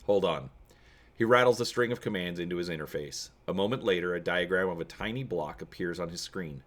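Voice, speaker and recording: A man giving a dramatic narrated reading of an adventure story, expressive in his storytelling. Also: deep voice, fast. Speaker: reader and host of a gamebook read-through.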